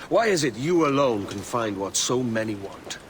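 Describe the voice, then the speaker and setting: An actor playing a brash, greedy, hot-blooded young pirate captain in a video game, blunt and impatient. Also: male voice, mid-range voice, very animated, moderate pace, slight background noise, close to an everyday voice. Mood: wary, probing. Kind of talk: video game cutscene acting.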